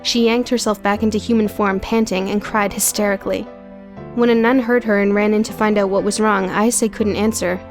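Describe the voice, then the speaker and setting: A woman's voice: mid-range voice, steady tone, a little fast. A woman reading scripted narration for an audio book. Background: music.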